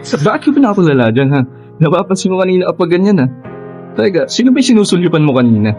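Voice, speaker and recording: Friendly young Filipino college lad, boyish and teasing, swooping delivery, soft music behind. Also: male voice, high-pitched, sing-song, very fast. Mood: teasing, urging. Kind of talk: Filipino radio drama acting.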